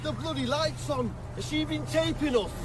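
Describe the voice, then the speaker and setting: A man's voice: very high voice, moderate pace, far from the mic. An actor playing a belligerent male pig farm boss in a radio drama, blustering. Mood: angry. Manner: bullying, blustering.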